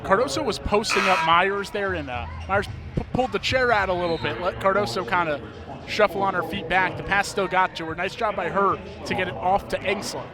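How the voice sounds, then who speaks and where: A man's voice: sits high, a little fast. A man giving conversational live analysis as a basketball color commentator. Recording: loud arena crowd behind. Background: basketball crowd and court noise.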